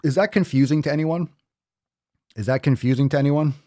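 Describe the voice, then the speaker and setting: A man's voice: a little high, fairly quick, warm tone, no echo, clean studio sound. A man in his thirties hosting a fitness podcast, lecturing bluntly with plenty of swearing. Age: 30s. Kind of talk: ranting livestream talk.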